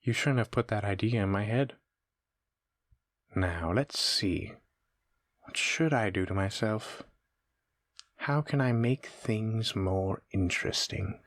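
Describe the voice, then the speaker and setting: A man playing a sadistic, playful shape-shifting monster who muses and taunts with unhurried menace in a horror audio roleplay. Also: on the low side, a bit bright, no room sound. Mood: scheming.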